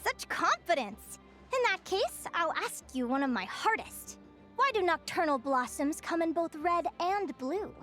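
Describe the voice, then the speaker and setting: A woman's voice: extremely high pitch, big pitch swings, measured pace, fairly bright tone, soft background music, heavily acted. A woman playing an encouraging, playful young teacher in a video game, with a sweet, composed manner. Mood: playful challenge.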